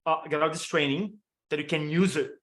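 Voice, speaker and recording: Energetic Brazilian-accented man in his fifties. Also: a little high. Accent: Brazilian Portuguese. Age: 50s. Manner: energetic, stacking quick lists of examples. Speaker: motivational speaker and storytelling coach. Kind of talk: training talk on a webinar. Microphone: video call.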